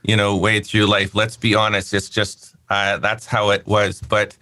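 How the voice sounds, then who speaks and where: A man's voice: fairly low pitch, completely flat delivery, unhurried, bright, forceful tone, no echo. A man in his early fifties, a company founder, talking candidly as an interview guest, rambling a little and laughing easily. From Canada. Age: 50s. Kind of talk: casual interview chat.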